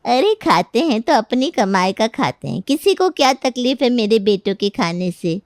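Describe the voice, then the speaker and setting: A woman voicing a stubborn older mother-in-law in an animated family story, scolding and sarcastic. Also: a little high, normal speed, dry recording, clean studio sound. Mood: indignant.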